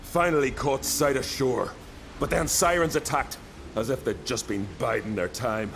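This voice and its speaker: An actor playing a loyal, hot-headed young island warrior in a video game, with an earnest, colloquial way of telling a tale. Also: male voice, mid-range voice, normal speed, vocal fry, fairly bright tone, slight background noise. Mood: rueful, annoyed. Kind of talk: dramatic video game voice acting.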